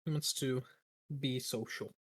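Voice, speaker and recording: Middle-pitched male voice, casual chat, tabletop player. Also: steady tone, measured pace, no room sound.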